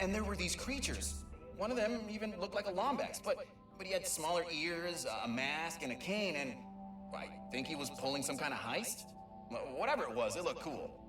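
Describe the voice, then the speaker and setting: A nerdy eager young alien explorer dude, stammery and rambly, pitch all over the place, echoey game audio log. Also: male voice, far from the mic. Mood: awed, rambling. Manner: stammering, rambling, self-conscious. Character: young, eager, nervy male Lombax alien inventor-explorer.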